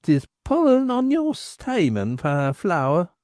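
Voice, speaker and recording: A man voicing a sly male innkeeper who flatters and teases in a smooth-talking way. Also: sits high, slow pace, dry recording, studio quality, voice pushed up, heavily acted. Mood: teasing.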